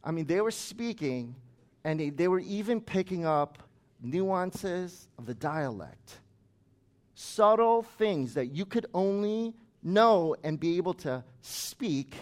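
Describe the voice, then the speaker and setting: Male pastor, slightly high voice, conversational sermon with asides. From United States. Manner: conversational preaching with chatty asides.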